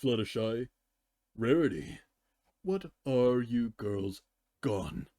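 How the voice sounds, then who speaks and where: A man's voice: medium pitch, lively intonation, slow pace, warm tone, no echo, studio quality. A man voicing a cheerfully callous young female cartoon pony in a nonchalant, matter-of-fact way. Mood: breezy glee.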